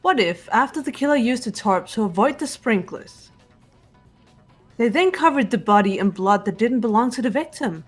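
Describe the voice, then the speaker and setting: A woman's voice: a little high, expressive, moderate pace, husky. A woman voicing a cool, analytical teenage girl detective in fan-made game dialogue, composed and assertive. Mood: probing. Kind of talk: fan-voiced visual novel game dialogue. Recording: music behind the voice.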